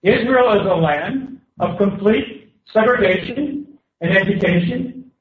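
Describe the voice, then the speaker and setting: Southern US man, guest speaker, high pitch, slow measured delivery; thin, phone-like sound in an echoing hall. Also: a little hiss or hum. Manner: declamatory, speaking in short phrases that pause for translation. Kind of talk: public speech given through a consecutive interpreter. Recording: echoey hall, distant tinny mic.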